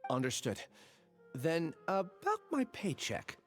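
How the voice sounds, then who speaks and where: A man's voice: a little high, wildly swooping pitch, slow pace, exaggerated voice. An actor voicing a polite, meek and apologetic young male assistant in a video game. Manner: earnest, meek, courteous. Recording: game music underneath.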